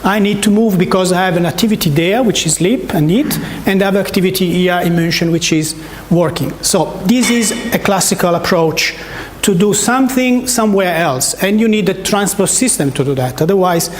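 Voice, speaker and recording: A man's voice: high voice, moderate pace, slight background noise. A man from Italy with an Italian accent, giving a research talk in a seminar, with frequent fillers and restarts. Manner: hesitant, many fillers and restarts, repeated words. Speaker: academic speaker. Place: seminar room. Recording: echoey lecture room.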